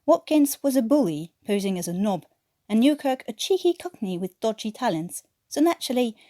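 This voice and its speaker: A woman's voice: on the high side, sing-song, a little fast, warm tone, dry recording, studio quality. A woman from France, a native French speaker with a French-tinged English accent, reading a scripted story as an amateur narrator. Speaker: amateur podfic reader.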